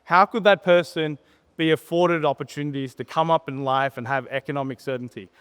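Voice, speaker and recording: A man gives an informal, plain-spoken conference talk, telling personal stories with pauses and tag questions. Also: fairly high pitch, a bit bright, smooth. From born in Sri Lanka, grew up in Australia. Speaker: startup co-founder, conference speaker. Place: conference stage in a large hall. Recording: reverberant hall PA sound.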